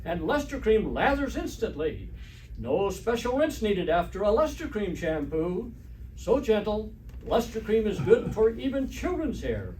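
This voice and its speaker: A man plays an old radio advertising pitchman, high-voiced and emphatic. His salesmanlike delivery swings up and down, caught from a distance with faint noise. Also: big pitch swings, measured pace, a little mellow. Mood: smoothly selling. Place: live stage performance. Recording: distant, slightly noisy stage recording.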